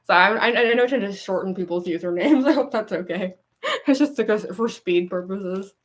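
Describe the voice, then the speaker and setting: A young woman with a gruff, raspy voice and a goofy laugh, chatting casually with viewers on a livestream, rambling with lots of fillers. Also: mid-range voice, brisk. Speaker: cosplay livestreamer.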